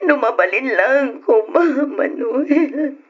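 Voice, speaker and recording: A woman playing an anguished, devoted mother in an old-time Ilocano radio drama, pleading tearfully in drawn-out phrases. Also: high voice, animated, breathy edge, exaggerated voice. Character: anguished, devoted mother of a gravely ill son. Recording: muffled, noisy old radio recording.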